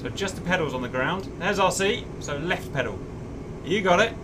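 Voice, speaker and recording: A man's voice: sits high, moderate pace. Encouraging man over a noisy background hum. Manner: encouraging, repeats himself reassuringly. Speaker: host, godfather teaching a child a flight sim. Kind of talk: casual coaching chat during gameplay. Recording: noisy room with steady hum.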